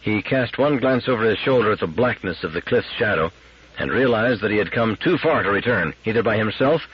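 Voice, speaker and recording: A man with a husky voice giving a scripted narration of a science fiction story as an audiobook reader. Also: lowish voice, expressive, a little fast, highs cut off, noisy background.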